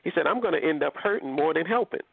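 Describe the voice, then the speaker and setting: A man from Nigeria speaking with a lively, swinging delivery, blunt and emphatic, cursing freely. His voice sits a bit high for a man. It comes through dry and tinny, like a phone line. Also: fast, vocal fry, husky, dry recording. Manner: emphatic, profane, animated. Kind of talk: casual group discussion.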